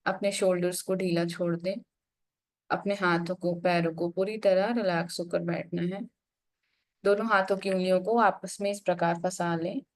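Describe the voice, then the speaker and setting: A woman with a slightly low voice guides a meditation. She gives instructions step by step, leaving frequent pauses between phrases.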